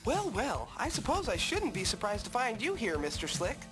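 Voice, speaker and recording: Prim, animated young apprentice hero. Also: female voice, on the high side, normal speed. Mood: wry. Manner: formal, wordy, dry. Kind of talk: video game cutscene acting. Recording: game music under the voice.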